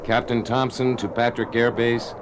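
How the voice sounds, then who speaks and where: An actor playing a calm, fatherly pilot in a 1970s radio drama. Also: mid-range voice, moderate pace, heavy background noise, natural voice. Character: steady pilot father, middle-aged man.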